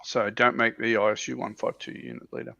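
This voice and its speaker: Creaky-voiced man on a lo-fi stream. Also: lowish voice, big pitch swings, brisk, bright, forceful tone, dry recording. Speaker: livestream co-host. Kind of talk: casual explanation of tabletop game units.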